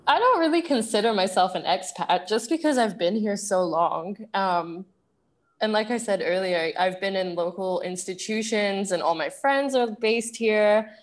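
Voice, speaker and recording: A young woman from the UK, raised in Asia, with a British accent tinged by Singaporean English, talking things through thoughtfully with many pauses and restarts. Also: medium pitch. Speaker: guest. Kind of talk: conversational interview.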